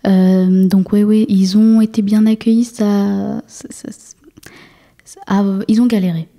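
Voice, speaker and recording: Young woman in her 20s, interview guest; monotone, hesitant, restarts a lot. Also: medium pitch, unhurried. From France. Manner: hesitant, many fillers and restarts. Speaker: guest, municipal councillor. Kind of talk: casual interview chat.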